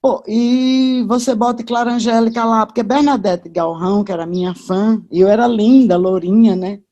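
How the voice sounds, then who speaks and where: A woman's voice: fairly high pitch, steady tone, moderate pace, dark tone. An older woman with a Northeastern Brazilian accent, reminiscing casually as an interview guest, chatty and full of asides and tag questions.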